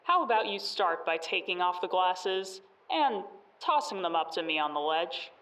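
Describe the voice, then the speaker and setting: A woman's voice: on the low side, expressive, moderate pace, a bit bright. Actress as sarcastic female bandit, distant, roomy echo, dry taunts, audio drama. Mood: impatient, mocking. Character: cocky woman bandit on a ledge, sarcastic. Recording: echoey, distant from the microphone.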